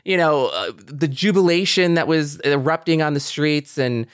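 A man's voice: a little high, expressive, normal speed, clean tone, no echo, studio quality. A middle-aged man hosting a podcast in a conversational, agreeable and reflective way. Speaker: podcast host.

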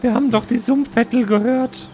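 A man voicing a cheeky young dwarf son with a squeaky, childlike voice and a Bavarian accent. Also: fairly even intonation, a little fast, warm tone, slight background noise. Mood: upset. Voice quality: squeaky, Pumuckl-like. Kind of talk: improvised audio roleplay.

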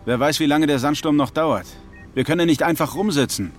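A man's voice: a little high, fairly quick, soft background music, natural voice. An actor playing a worried male astronaut who keeps asking questions.

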